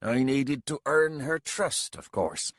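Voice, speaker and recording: A man voicing an old, bent castellan who speaks low, steady and patient. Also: slow pace, no room sound, studio quality, full character voice. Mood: quiet.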